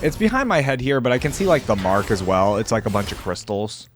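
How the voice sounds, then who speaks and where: A man's voice: medium pitch, big pitch swings, a little fast, a little hiss or hum. A man giving casual, slangy reaction commentary as a video host, thinking aloud as he goes.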